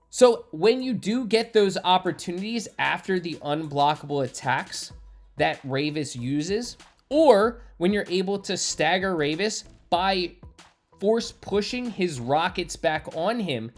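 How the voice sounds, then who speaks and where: A male game commentator delivers a prepared walkthrough. His phrasing is instructional and orderly, explaining tactics point by point. Faint game music runs underneath. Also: high-pitched. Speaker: gaming YouTuber. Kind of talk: scripted walkthrough commentary with tips.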